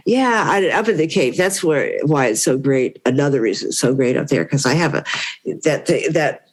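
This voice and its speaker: Give me an older woman chatting haltingly in an interview, modest and unsure of herself. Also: sits low, expressive. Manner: halting, hesitant, self-deprecating. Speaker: interview guest. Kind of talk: casual conversation.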